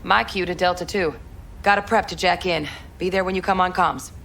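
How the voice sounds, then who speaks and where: A woman's voice: lowish voice, steady tone, brisk, vocal fry, fairly bright tone, a little hiss or hum. A woman playing a cool, businesslike hacker in a video game, speaking in a clipped, matter-of-fact manner.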